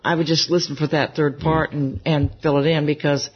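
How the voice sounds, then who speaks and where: An older woman with a low voice, chatting as a guest in an interview and gently telling stories. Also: little pitch movement, moderate pace, a little hiss or hum. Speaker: interview guest, gospel singer. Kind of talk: casual interview conversation. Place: music convention. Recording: slightly dull lo-fi recording.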